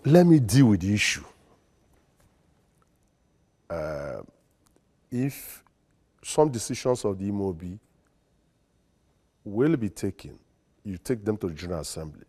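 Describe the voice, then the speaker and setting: Deep-voiced Nigerian man, formal and deliberate. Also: sing-song, mellow, slightly rough. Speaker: guest, lawyer and secretary general. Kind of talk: studio interview. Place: TV studio.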